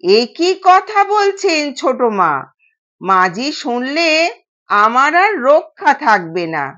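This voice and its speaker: A woman's voice: high voice, sing-song, slow pace, bright, forceful tone, dull audio, exaggerated voice. A woman voicing a timid, deferential older male household servant in an audio story, anxious and respectful.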